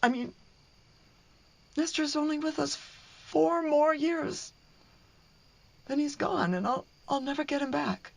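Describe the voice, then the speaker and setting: A woman's voice: high voice, slow pace, vocal fry, long pauses, full character voice. A woman playing a hurt, sarcastic middle-aged wife and mother in a radio play, speaking with open emotion. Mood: bitter, anguished.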